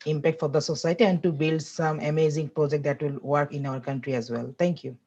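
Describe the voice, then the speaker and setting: A man's voice: on the high side, steady tone, brisk, darkish tone. A man from Bangladesh introducing himself on a video call, earnest with a hesitant start. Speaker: program delegate. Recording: dull video call audio.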